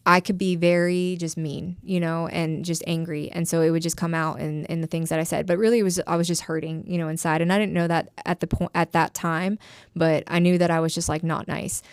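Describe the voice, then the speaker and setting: Podcast guest, woman in her 30s, candid and rambling, low-pitched with a smooth, dry sound. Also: no echo. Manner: frank, rambling, heavy use of filler "like". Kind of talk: candid personal storytelling.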